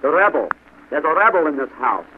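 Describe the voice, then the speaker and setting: A man's voice: mid-range voice, big pitch swings, fairly quick, close to an everyday voice. A man voicing a rude, boastful Hessian sergeant in a bellowing, emphatic storybook style. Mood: shouting accusation. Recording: noisy, tinny old radio-like recording.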